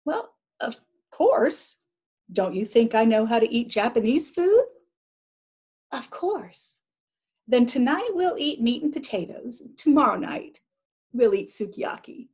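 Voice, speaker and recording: A woman's voice: a little high, very animated, takes it slowly, a little mellow, breathy edge, voice pushed up, heavily acted. A woman voicing a friendly, eager young male sailor in a storybook read-aloud.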